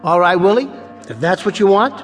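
American ventriloquist straight man, uneasy, highish voice that jumps around, radio play. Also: expressive, a little fast, a bit bright, faint music behind. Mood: bantering. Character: uneasy male nightclub ventriloquist, weary straight man to his dummy. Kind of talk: radio drama acting.

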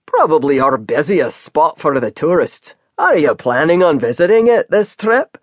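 A kindly older Scottish cabbie, as the storyteller puts him on, lower and slower than the narration. He drawls in a friendly, chatty way, and the sound is close and dry. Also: big pitch swings, no room sound. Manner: slow, warm, folksy.

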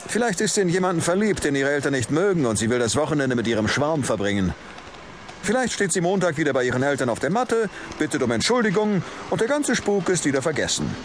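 Cynical, hot-tempered police detective. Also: male voice, a little high, very animated, quick pace, a little hiss or hum. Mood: weary, reasoning. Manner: sarcastic, biting, emphatic. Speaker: actor in audio drama. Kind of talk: German crime audio drama acting.

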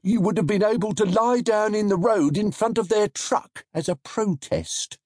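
A man voicing an excitable male market stallholder who gets carried away. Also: sits high, moderate pace, dry recording, studio quality, voice pushed up. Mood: eager.

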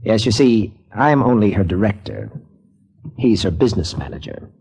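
A guarded, hesitant theater director with a low, breathy voice. His pitch rises and falls a lot, in the manner of a 1940s radio drama. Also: sing-song, moderate pace, a little mellow, full character voice. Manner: hesitant, polite.

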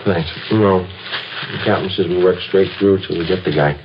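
An actor playing a weary, dutiful American police detective, clipped and matter-of-fact, in an understated 1950s radio drama style. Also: male voice, deep voice, steady tone, normal speed. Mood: stoical, determined. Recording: muffled, noisy old radio recording.

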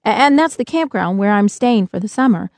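A woman's voice: medium pitch, animated, fairly quick, a little mellow, dry recording, lightly acted. A woman voicing a shy young boy in an audiobook, hesitant and informal in the way of talking. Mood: puzzled. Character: young boy, awed, shy, casual-talking American kid.

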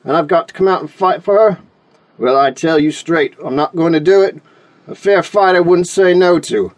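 A woman voicing a grumpy, stubborn male dragon with a growling, rumbling voice. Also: normal speed, darkish tone, natural voice. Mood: grumbling. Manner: gruff and straight-talking.

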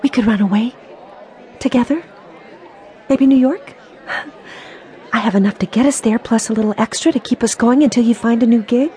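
An actress playing a trusting, lovestruck young woman in an audio drama, soft and earnest. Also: fairly high pitch, moderate pace, long pauses, some chatter behind, close to an everyday voice. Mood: hopeful. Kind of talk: radio drama acting.

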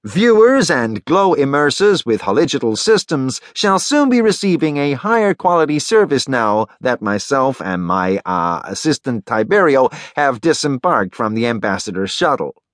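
A man voicing a polished on-air reporter addressing viewers in a smooth, promotional manner. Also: medium pitch, expressive, moderate pace, hardly any pauses, no echo, studio quality, voice pushed up, lightly acted. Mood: upbeat, presenting.

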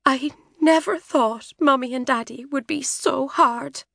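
A woman voicing a gentle, anxious teenage schoolgirl who speaks earnestly and close to tears. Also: sits high, unhurried, vocal fry, no echo, voice pushed up, heavily acted. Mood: tearful. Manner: earnest, tearful.

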